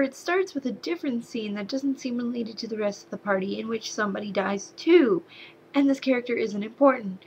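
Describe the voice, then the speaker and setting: Young woman movie reviewer, slight Southern accent, higher voice, sarcastic rants with exasperated outbursts. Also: a little hiss or hum, low-quality recording. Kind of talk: casual movie review to camera.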